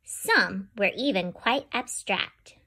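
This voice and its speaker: A woman's voice: medium pitch, slow, husky. A woman host reading a picture book aloud to children and talking straight to her young listeners. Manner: animated, talks directly to young listeners. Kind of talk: read-aloud storytelling for children.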